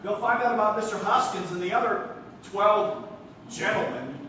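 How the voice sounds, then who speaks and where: In an echoing theatre, picked up from afar, a man acts a frantic nephew in a stage comedy. His voice is high, anxious and insistent. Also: steady tone, normal speed, pushed and bright. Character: frantic, protective nephew trying to control mad relatives. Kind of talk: live stage comedy acting. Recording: very echoey theatre, distant mic, noisy.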